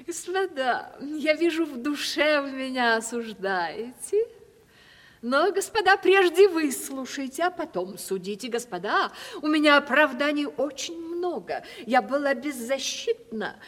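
A woman's voice: high-pitched, animated, a little slow, fairly bright tone. An actress playing a vain, affected ageing widowed landowner in a radio stage comedy, gushing and theatrical as she justifies herself. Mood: self-justifying, coy. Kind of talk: 1970s Russian stage comedy radio acting. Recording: hall-like reverberant 1970s radio drama.

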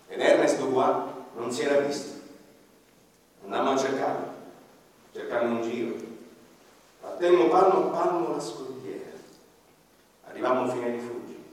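A man narrating a radio drama in the first person, grave and dramatic, often dropping to a hush. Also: medium pitch, heavy reverb, in a large hall, far from the mic, slight background noise, low-quality recording. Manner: grave, dramatic storytelling with sudden drops to a hush.

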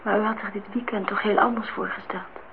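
An actress playing a gentle, dreamy, candid woman in a Dutch radio drama, speaking in a lyrical, earnest way. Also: medium pitch, fairly even intonation, a little fast, lightly acted. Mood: subdued. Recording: tinny, band-limited, noisy old radio recording.

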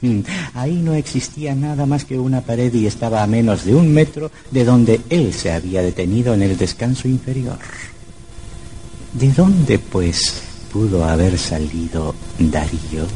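A man's voice: mid-range voice, animated, measured pace, warm tone, slight background noise, poor audio. A man narrating a scripted old radio horror drama in a dramatic, suspenseful manner.

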